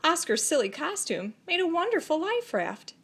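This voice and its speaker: A woman narrating a children's story expressively, with deliberate phrasing and dramatic pauses. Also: very high-pitched, big pitch swings, fairly bright tone. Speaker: storybook reader.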